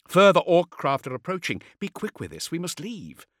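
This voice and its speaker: A man voicing a dutiful, respectful castellan in an audiobook, reporting briskly and urgently like a soldier. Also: a little high, big pitch swings, no echo, clean studio sound, voice pushed up. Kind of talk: audiobook character acting.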